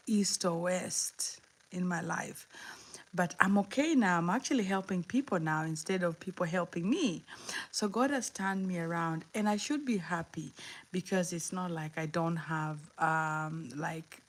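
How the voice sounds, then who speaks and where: Kenyan lady in her 50s doing a chatty live stream, lowish voice, laughs a lot. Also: unhurried. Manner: chatty and encouraging, laughs often, answers viewers' comments. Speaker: livestream host. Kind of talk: casual live chat.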